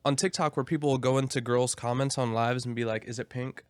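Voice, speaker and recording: A man's voice: mid-range voice, steady tone, fast talker, clear, resonant tone, no room sound. A young man chatting casually as a podcast co-host, telling stories in a slangy, joking way. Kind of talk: casual comedic podcast chat.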